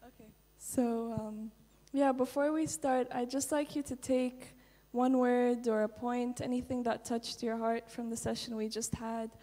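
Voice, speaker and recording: A young woman from Kuwait, soft-spoken and unhurried, talks with many fillers. Her voice sits a little high but stays flat and dark in tone. She is heard through the long reverb of a large conference hall. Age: young adult. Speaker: conference speaker and stretch guide. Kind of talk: conference interview and guided stretching.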